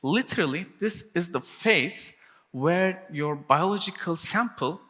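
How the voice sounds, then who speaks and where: A man's voice: sits high, expressive, a little slow, pushed and bright. A young man from Turkey, giving a technical conference talk in English, often linking ideas with "and then" and restarting phrases. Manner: frequent "and then" and restarts, non-native phrasing. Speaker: conference speaker. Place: conference hall. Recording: reverberant conference hall.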